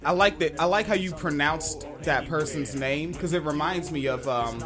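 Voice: medium pitch, moderate pace, over music. A podcast co-host who talks sarcastically and mocks pundits, running a soundboard. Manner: sarcastic, mocking, plays soundboard clips. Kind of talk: casual podcast banter.

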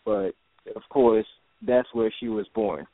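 A man from Jamaica, a guest in an interview, talking haltingly with many restarts. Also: on the low side, dry recording. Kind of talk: casual interview talk. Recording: phone line, dry.

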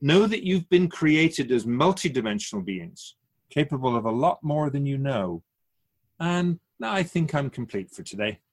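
A man playing a wise, otherworldly spirit in a radio drama, patient and gently teasing, speaking in a measured, formal way with musing questions. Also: mid-range voice, sing-song. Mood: solemn, earnest.

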